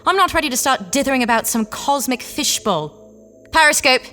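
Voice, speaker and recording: A woman acting a brisk, prim and unflappable explorer's wife in an audio drama. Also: high-pitched, expressive, bright, forceful tone, big-room sound, soft background music. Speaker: lead character, an explorer wife.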